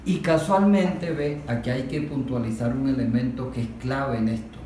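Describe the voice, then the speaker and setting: A Venezuelan man in a political discussion, explaining things didactically and deliberately, with emphatic points and rhetorical questions. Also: mid-range voice, mellow. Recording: very echoey room, distant mic, faint noise.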